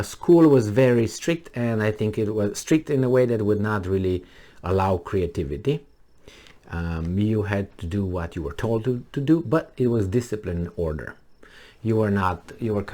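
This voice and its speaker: Low-voiced man, halting. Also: mellow. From Romania. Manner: halting, many fillers and restarts. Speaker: vlogger. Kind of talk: casual monologue to camera.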